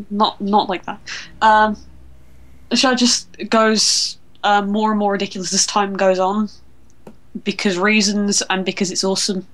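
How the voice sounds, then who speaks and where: A woman's voice: mid-range voice, a bit bright. A woman co-hosting a podcast, chatting casually and explaining things like a keen fan. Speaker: podcast co-host. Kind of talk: casual chat.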